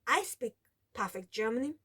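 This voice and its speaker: A woman's voice: a little high, lively intonation, moderate pace, bright, forceful tone, husky. A woman in her forties with a Kenyan accent, her first language Taita, telling her story to camera in a rambling casual vlog, with many restarts. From Kenya, Taita from the coast. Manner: rambling, with frequent restarts and "you know". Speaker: vlogger.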